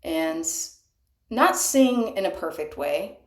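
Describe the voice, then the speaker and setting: A young woman speaking softly and earnestly, halting often, with sighs and small laughs, recorded on a cheap microphone. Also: lively intonation. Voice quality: soft higher voice. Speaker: small channel singer. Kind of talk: casual confessional vlog. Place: bathroom. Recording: echoey room, cheap mic.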